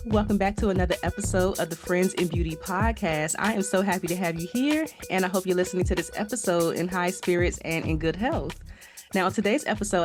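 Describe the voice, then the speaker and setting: A woman hosting a beauty podcast, lively and chatty and quick to laugh. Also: on the low side. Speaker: podcast host. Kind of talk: casual chat.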